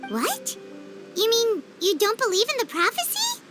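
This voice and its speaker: A woman voicing a tiny, chirpy and bossy fairy companion in a game cutscene dub, squeaky and excitable. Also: measured pace, over loud music, exaggerated voice. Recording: game score underneath.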